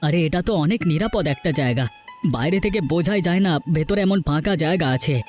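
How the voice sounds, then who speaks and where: A man's voice: fairly high pitch, animated, brisk, darkish tone, soft background music, natural voice. A man playing a proud, poor homeless village husband and father in a cartoon folk tale, speaking in an earnest, declamatory way. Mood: caring. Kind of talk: Bengali cartoon folk-tale dialogue acting.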